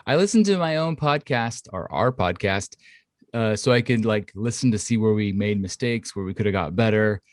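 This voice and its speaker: A man chatting on a podcast, rambling through long explanations full of "um" and "like". His voice sits a little low and is recorded close and dry, with no room sound. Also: expressive, normal speed, no room sound. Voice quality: thinks his voice is high-pitched. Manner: rambling, filler-heavy, explains at length. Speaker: podcast co-host. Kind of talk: casual podcast chat. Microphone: studio condenser mic at home.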